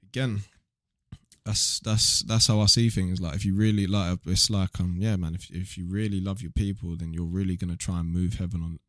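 A young man with a southern English accent and a low-pitched voice talks casually on a podcast. He is blunt and slangy, swearing freely, and the sound is dry with little room to it. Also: flat delivery, normal speed, fairly bright tone, no room sound. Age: young adult. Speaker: podcast guest, online video creator.

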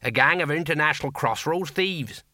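A man voicing a big, self-important, slow-witted police sergeant in a storybook, with a ponderous, officious manner. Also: a little high, animated, pushed and bright, no echo, studio quality, pitched above the performer's own voice. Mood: officious, pleased.

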